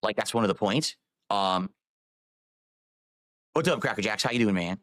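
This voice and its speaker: A man's voice: fairly low pitch, expressive, moderate pace, a bit bright, no echo, clean studio sound. A man live-streaming, rambling while he fiddles with his microphone and audio settings.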